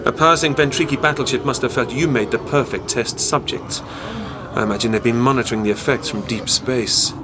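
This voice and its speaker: An actor playing a knowing, time-travelling doctor in an audio drama, calm and measured as he explains. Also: medium pitch, lightly acted. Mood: grave, explanatory. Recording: clear background noise behind dialogue.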